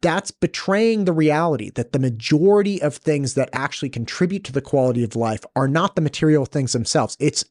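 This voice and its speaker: A man's voice: a little high, expressive, fairly quick, hardly any pauses, darkish tone, dry recording, studio quality. A man chatting casually as a podcast co-host, making his points through everyday personal examples. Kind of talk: casual chat.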